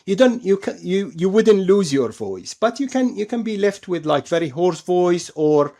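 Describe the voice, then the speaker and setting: A man's voice: high voice, very animated, normal speed, mellow. A man speaking as an expert surgeon guest, explaining things in an interview, often repeating and rephrasing himself for emphasis.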